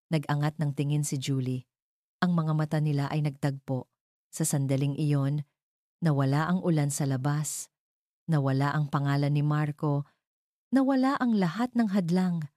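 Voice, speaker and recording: A woman narrating a romantic drama from a script in a measured, emotive storytelling manner. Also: low-pitched, mellow, dry recording, clean studio sound.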